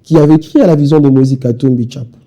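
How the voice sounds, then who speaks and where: A man's voice: mid-range voice, fairly even intonation, fast talker, mellow, highs cut off. A young man from Kinshasa with a Congolese French accent, a party spokesman speaking insistently in a televised interview. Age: young adult. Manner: insistent, repetitive speechmaking.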